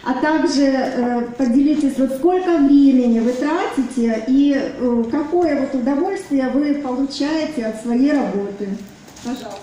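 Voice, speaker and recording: A woman's voice: high voice, moderate pace, mellow, slight background noise. A woman moderating a meeting, reading questions aloud in a formal, hesitant way. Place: meeting hall. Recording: echoey hall from far off.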